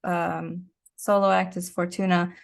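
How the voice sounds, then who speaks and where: Low-pitched woman in casual interview chat. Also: flat delivery, measured pace, gravelly. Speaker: interview guest, actor and musician.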